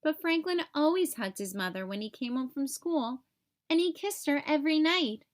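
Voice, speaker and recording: A woman reading a picture book aloud to young children, with expressive, simple storytelling. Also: high voice, moderate pace.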